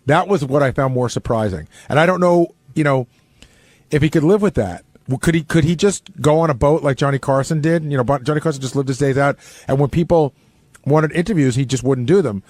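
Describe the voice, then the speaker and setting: A man's voice: a little high, fairly quick, darkish tone, no echo. A man who works as a radio producer, chatting off the cuff and often rephrasing himself mid-sentence. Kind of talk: casual unscripted discussion. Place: radio studio. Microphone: close studio microphone.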